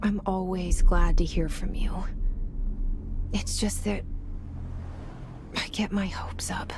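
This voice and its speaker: An actress playing a guarded young woman speaking a breathy, half-whispered inner monologue. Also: lowish voice, fairly even intonation, slow pace. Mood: hushed. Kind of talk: game narration, inner monologue.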